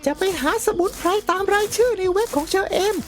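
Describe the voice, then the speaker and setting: Cartoon grandson: very high boyish pitch, chatty, cheeky, naive. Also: female voice, lively intonation, brisk. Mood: eager, explaining. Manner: earnest, rambling explanations with cheeky asides. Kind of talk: cartoon comedy dialogue.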